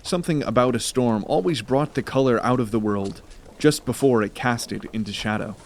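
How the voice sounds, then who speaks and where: A man reads scripted fantasy narration in a dramatic storytelling style. His voice is of middling pitch. A light layer of ambient noise sits behind it. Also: brisk, a little mellow.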